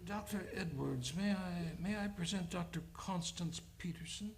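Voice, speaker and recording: A man playing an old, courteous hospital chief with hesitant, halting speech in an old-time radio play. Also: high voice. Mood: resigned, courteous. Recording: noisy live radio studio recording.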